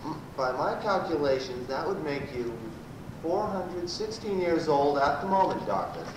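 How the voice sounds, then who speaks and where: A man playing a pompous, badgering prosecutor in an amateur radio comedy, with a stumbling, halting delivery. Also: on the high side, very animated. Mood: probing. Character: pompous, badgering male prosecuting lawyer in sci-fi parody. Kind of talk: amateur old-time radio comedy acting. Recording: roomy, distant, noisy amateur live recording.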